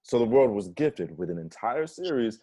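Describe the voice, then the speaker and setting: A man's voice: mid-range voice, very animated, moderate pace, mellow. A man playing a dramatic young gossip confiding to camera in a comedic confessional script. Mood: gossipy.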